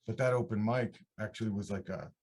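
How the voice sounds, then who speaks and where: A man's voice: on the low side, a little fast. A man in his thirties from upstate New York, a country singer telling his story in a casual, rambling interview. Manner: rambling, relaxed, restarts mid-sentence. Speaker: country singer-songwriter guest.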